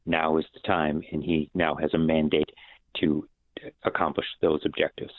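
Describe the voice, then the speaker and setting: Deep-voiced man analyst over a phone line. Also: normal speed, dry recording. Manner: steady, assertive analysis. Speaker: political commentator. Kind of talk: radio panel discussion. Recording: phone-line guest, dry.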